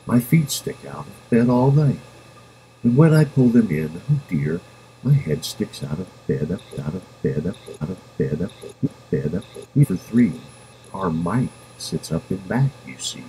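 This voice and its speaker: Low-voiced man reading a rhyming storybook expressively. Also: big pitch swings, a little slow, warm tone, rough recording. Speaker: reader of a children's book. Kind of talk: expressive storybook read-aloud in rhyme. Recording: home recording with background noise.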